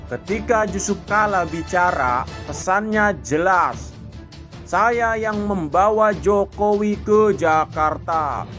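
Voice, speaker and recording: A man narrates political commentary in a high, unhurried voice. His delivery is measured and deliberate, like a read script. Soft music plays faintly underneath. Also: expressive.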